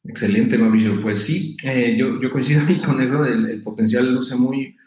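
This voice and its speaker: A man's voice: mid-range voice, moderate pace, darkish tone, echoey room. A man hosting an interview, polite and hesitant, with many fillers. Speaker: podcast host.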